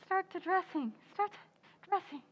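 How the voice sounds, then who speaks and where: An actress playing a flustered, scatterbrained housewife in an old-time radio comedy, exclamatory and melodramatic. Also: female voice, very high voice, lively intonation, unhurried, lo-fi sound. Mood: dazed, muddled.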